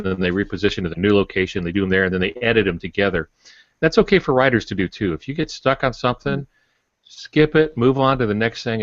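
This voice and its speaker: Older man, lowish voice, wry podcast advice. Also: no echo. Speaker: podcast co-host. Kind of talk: casual advice-giving chat. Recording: dull, dry lo-fi audio.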